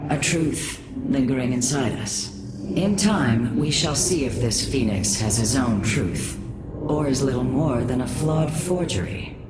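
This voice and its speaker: Wise alien mystic, very low voice, calm, solemn, measured, noisy background. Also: female voice.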